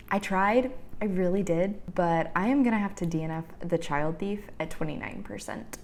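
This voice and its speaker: A woman talks through a book review in a casual vlog style. Her voice sits at a middling pitch, and she is candid and conversational, leaning on words for emphasis. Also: darkish tone.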